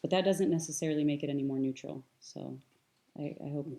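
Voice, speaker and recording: A young American woman talking with a low, mellow voice. She speaks earnestly and thoughtfully, and often pauses on fillers and restarts her sentences. She sounds like a guest in a relaxed panel discussion. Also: vocal fry. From United States. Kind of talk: conversational panel talk.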